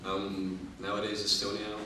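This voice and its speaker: Hesitant guy hosting a talk, deep and pretty monotone, sounds far from the mic. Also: normal speed. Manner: hesitant, tentative. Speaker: talk show host. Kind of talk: casual unscripted interview. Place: echoey room with an audience. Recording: echoey room, distant mic, faint noise.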